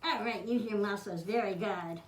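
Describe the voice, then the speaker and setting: A woman's voice: medium pitch, normal speed. A woman demonstrating a craft for children, giving simple step-by-step instructions in an encouraging way. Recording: roomy echo, clearly noisy, clicking.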